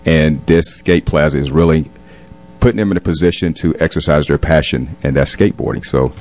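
Male interview guest, middle-aged, very deep bass voice. Earnest, measured, conversational. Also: a little mellow. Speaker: interview guest, police captain and community leader. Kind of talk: casual radio interview.

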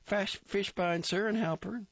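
A persistent young army private, as a male reader puts him on, pitched well above a man's usual voice. He sounds eager, overly polite and pleading. The recording is dull and dry. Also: fairly even intonation, a little slow, no room sound.

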